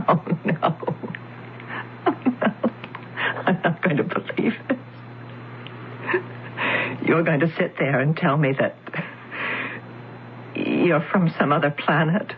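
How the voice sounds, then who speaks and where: A distraught grieving wife. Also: female voice, deep voice, a little hiss or hum, exaggerated voice. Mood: disbelieving. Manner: emotional, incredulous. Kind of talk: 1970s radio drama acting. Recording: tinny lo-fi old radio broadcast.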